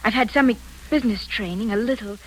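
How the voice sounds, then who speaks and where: A woman's voice: fairly high pitch, fast, natural voice, poor audio. An actress playing a hesitant, stammering young woman in her twenties applying as a secretary in a 1940s radio drama. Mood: nervous, hesitant. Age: 20s. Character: nervous young Irish secretary. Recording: old radio broadcast with faint hiss.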